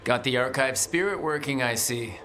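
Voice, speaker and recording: A man's voice: mid-range voice, moderate pace, pushed and bright, a little hiss or hum, close to an everyday voice. An actor playing a direct, wry young male hero in a video game, in a conversational manner. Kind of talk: naturalistic video game acting. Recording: music behind the voice.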